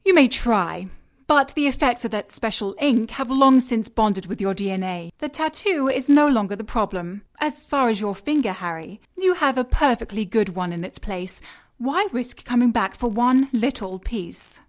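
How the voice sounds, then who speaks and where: An actress playing a cool, commanding villain boss in an audio drama, composed, precise and dryly condescending. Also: female voice, on the high side, lively intonation, moderate pace, natural voice. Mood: dryly mocking.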